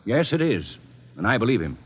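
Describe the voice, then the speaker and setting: A man's voice: medium pitch, big pitch swings, brisk, slightly rough. A middle-aged man playing an earnest, worried father, polite and formal, in a 1940s radio drama. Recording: muffled old radio broadcast.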